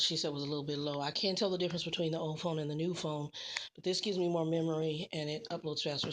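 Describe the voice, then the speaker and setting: A woman's voice: sits low, slight background noise. A woman truck driver vlogging in a casual, rambling way, repeating herself and calling her viewers y'all. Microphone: phone.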